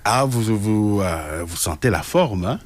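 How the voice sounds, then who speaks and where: A man's voice: fairly low pitch, expressive, measured pace. A man hosting a radio interview, with frequent hesitations. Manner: hesitant, many filler sounds. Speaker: radio interviewer, show host.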